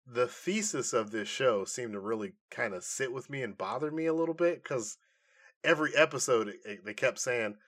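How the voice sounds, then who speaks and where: A man's voice: medium pitch, normal speed, fairly bright tone. Casual, slangy man co-hosting a review podcast. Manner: conversational, slangy, opinionated. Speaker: podcast co-host reviewing nerd culture.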